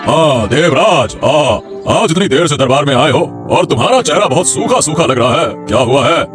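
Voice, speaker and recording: A man's voice: fairly high pitch, lively intonation, moderate pace, music in the background, lightly acted. A man voicing a stern, righteous king in a cartoon, speaking with regal authority. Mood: concerned, stern. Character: stern, righteous Indian king holding court. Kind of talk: Hindi cartoon voice acting.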